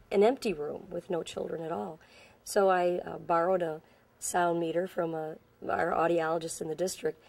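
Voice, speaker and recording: A woman's voice: lowish voice, mellow. A woman who works in a school district, explaining things earnestly in a documentary interview. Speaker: school staff member.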